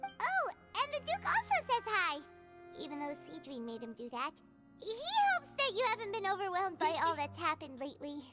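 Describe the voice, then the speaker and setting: A woman voicing a tiny, childlike fairy companion in a video game, squeaky, chatty and blunt, talking in exclamations. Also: big pitch swings, brisk. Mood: cheerful. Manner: refers to self in third person, exclaiming. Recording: music behind the voice.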